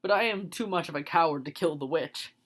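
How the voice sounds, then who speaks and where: A woman's voice: low-pitched, fairly quick. Woman playing an earnest cowardly lion. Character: timid yet proud male lion.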